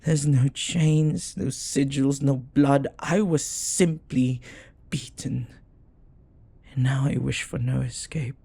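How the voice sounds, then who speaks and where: A man voicing a possessive, devoted demon lover in an intimate audio roleplay, husky and breathy, often dropping toward a whisper. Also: mid-range voice, fairly even intonation, warm tone, full character voice. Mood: yearning, surrendered. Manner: slow, deliberate, dramatic pauses. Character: possessive lovestruck male demon, jealous, intense, devoted.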